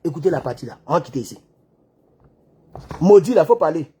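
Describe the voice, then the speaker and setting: A man giving an animated political monologue, acting out the dialogue in his stories. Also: a little high, fairly quick, breathy edge. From Côte d'Ivoire. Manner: excitable storyteller who acts out dialogue. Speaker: online political commentator.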